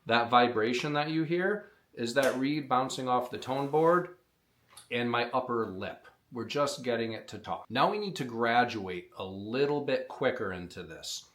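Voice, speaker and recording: A man's voice: medium pitch, animated, unhurried, a bit bright, a little hiss or hum. Plainspoken man teaching a hunting call. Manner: plainspoken, explains step by step to beginners. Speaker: call maker teaching predator calling. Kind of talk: instructional demonstration.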